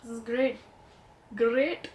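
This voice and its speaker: A woman's voice: a little high, steady tone, slow, darkish tone, a little hiss or hum. A young woman with a light Indian accent, chatting playfully and enthusiastically as she reviews perfumes. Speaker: beauty vlogger reviewing perfumes. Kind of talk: casual product review vlog.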